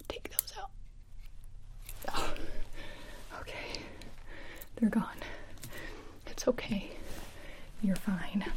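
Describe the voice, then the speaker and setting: A woman voicing a blunt, insulting female ENT doctor in a comedic ASMR roleplay, whispering in a deadpan way up close to the mic. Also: on the high side. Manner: deadpan, insulting bedside manner.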